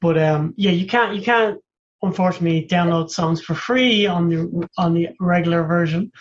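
A young man from Ireland with an Irish accent, a musician guest on a radio show who jokes and talks in a relaxed, self-deprecating way. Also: sits high, moderate pace, lo-fi sound. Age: young adult. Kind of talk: casual radio interview.

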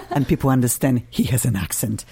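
A woman's voice: extremely low pitch, very animated, fairly quick, creaky, warm tone, breathy edge. Chatty woman with a Polish accent. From Poland. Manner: chatty, laughs often, playful. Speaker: radio show host. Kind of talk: lively radio chat. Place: radio studio. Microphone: studio microphone.

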